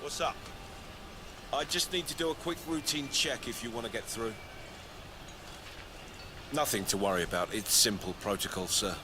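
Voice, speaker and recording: Actor as casual, procedural security guard. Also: male voice, medium pitch, normal speed, pauses often, natural voice. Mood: routine. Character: matter-of-fact male security guard at checkpoint. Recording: noisy outdoor ambience.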